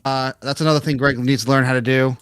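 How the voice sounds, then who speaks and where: A man from New York chatting casually as a ham radio operator. He talks loudly and easily, with a mid-range pitch, and laughs often between his thoughts. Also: steady tone, fast. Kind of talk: casual on-air radio chat.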